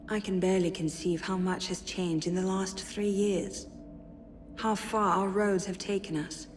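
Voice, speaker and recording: Actress doing a young noblewoman in a video game, reading letters, wistful n witty, mid pitch, bit of noise behind her. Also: lightly acted. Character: spirited young French noblewoman, witty, tender, resolute.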